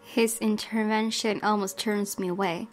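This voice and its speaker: A woman hosting a gaming video, reading game text aloud and reacting in an expressive, fretful way. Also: medium pitch, moderate pace. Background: background game music.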